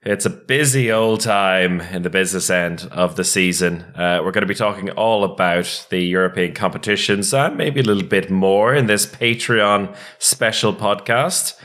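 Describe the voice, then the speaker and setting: A man's voice: low voice, moderate pace, few pauses, fairly bright tone. A man hosting a casual sports podcast, chatting with his co-hosts. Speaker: podcast host.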